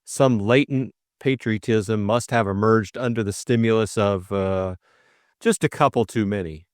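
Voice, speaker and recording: A man playing a flippant, drowsy young gentleman of leisure in an audiobook, with a breezy, bemused and slightly hesitant manner. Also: lowish voice, unhurried, dry recording, studio quality, natural voice. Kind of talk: audiobook character acting.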